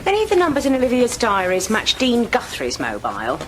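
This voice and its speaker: An actress playing an authoritative British detective inspector in an audio drama, brisk and questioning. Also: female voice, a little high, huge pitch swings. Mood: probing, firm. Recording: clear background noise.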